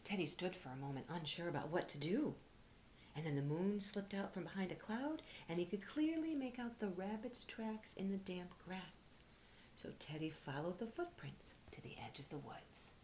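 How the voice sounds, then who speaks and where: A woman reading aloud to children like a teacher, expressive, often dropping into breathy, hushed storytelling. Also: fairly low pitch, normal speed, low-quality recording. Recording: faint background noise.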